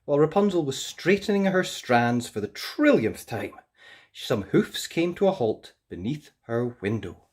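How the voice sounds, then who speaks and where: A woman narrating a children's fairytale as an expressive storyteller. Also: extremely low pitch, measured pace.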